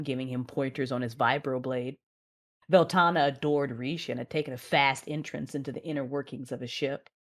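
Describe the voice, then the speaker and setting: Woman acting a very deep-voiced grieving warrior mother. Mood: wistful. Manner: emotive, measured storytelling with anguished dialogue. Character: grieving female Jedi, mother, narrating her own tragedy. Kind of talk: dramatic first-person audio drama narration.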